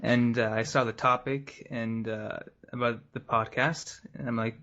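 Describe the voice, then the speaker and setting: A man speaking as a podcast guest, chatting casually in a low-key, hesitant manner. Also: steady tone, unhurried, a bit bright, highs cut off. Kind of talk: casual chat.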